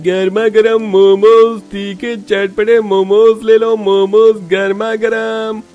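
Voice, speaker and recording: A man voicing a loud street food vendor calling out with drawn-out cries in a cartoon. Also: high-pitched, level tone, highs cut off, faint music behind. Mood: loud hawking. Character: loud street momo vendor hawking his wares.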